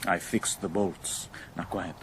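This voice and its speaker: An actor playing a cool, wry, dangerous warrior who speaks with measured control in a radio drama. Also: male voice, low voice, fairly even intonation, slight background noise. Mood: hushed command. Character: cool, sardonic male warrior intruder, dangerous yet controlled.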